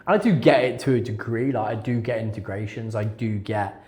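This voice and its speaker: A man's voice: fairly low pitch, fairly quick, a little mellow. A man talking casually as a podcast guest, informal and hesitant, repeating words for emphasis. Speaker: podcast guest, recruitment technology consultant. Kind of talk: casual interview chat. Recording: echoey room sound.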